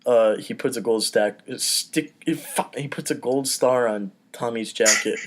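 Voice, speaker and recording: A man's voice: medium pitch, unhurried, background noise. A man narrating stage directions in an informal comedy table read. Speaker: podcast performer reading stage directions.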